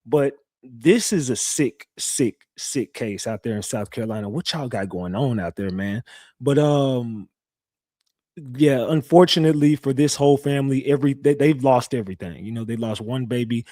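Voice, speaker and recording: A young man with a slightly raspy, recovering voice, hosting a casual live stream and talking loosely to his chat with slang and lots of repeats. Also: medium pitch, moderate pace, warm tone, no room sound, studio quality. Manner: loose, repetitive, talks straight to chat with slang. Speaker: live-stream true crime host. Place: home streaming setup.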